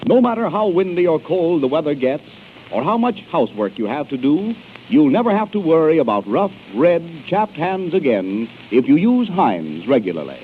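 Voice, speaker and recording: A man's voice: a little high, sing-song, normal speed. A man working as a radio announcer, reading advertising and introductions in a polished, declamatory 1930s style. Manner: polished, declamatory sales delivery. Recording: narrow-band hissy 1930s radio broadcast.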